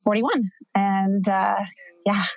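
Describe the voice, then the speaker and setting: A woman's voice: mid-range voice, steady tone, takes it slowly, vocal fry, dry recording. Hesitant woman in her forties. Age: 40s. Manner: hesitant, grateful, many fillers. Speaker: podcast guest. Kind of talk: casual introduction.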